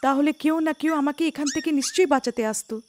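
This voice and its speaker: Woman acting a high-pitched pleading captive wife. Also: steady tone, racing, darkish tone, slight background noise, close to an everyday voice. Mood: worried, urging. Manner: pleading, emotional. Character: captive village wife, frightened, pleading, devoted to her dog. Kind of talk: cartoon character acting.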